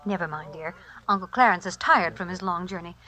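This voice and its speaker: An actress playing a gentle, anxious mother in 1940s radio drama style. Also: lowish voice, fairly quick. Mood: soothing. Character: gentle, anxious mother soothing her child. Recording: vintage 1940s radio recording.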